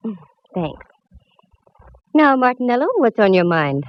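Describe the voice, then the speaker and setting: An actress playing a young female private eye who is wry, quick-witted and sardonic, heard on a muffled old radio broadcast. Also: on the high side, big pitch swings. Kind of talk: 1940s radio acting.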